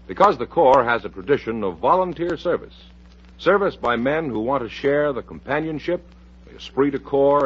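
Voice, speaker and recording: A man's voice: medium pitch, husky. An American man announcing a scripted mid-century radio music show in a polished, promotional manner. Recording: dull, band-limited vintage radio recording.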